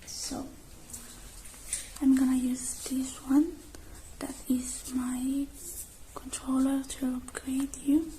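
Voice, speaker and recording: A woman performs a soothing ASMR roleplay as a technician tending to the listener. She whispers slowly and evenly, close to the microphone, with faint noise behind her soft voice. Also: high voice, flat delivery, rough recording. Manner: soothing, personal attention addressed to the listener. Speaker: ASMR creator playing a robot repair technician.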